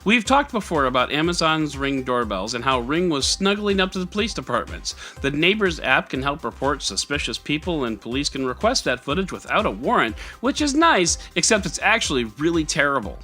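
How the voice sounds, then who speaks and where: Man, podcast host, tech news roundup, wry and sarcastic, very animated pitch, music underneath. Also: brisk, few pauses. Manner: sarcastic, conversational.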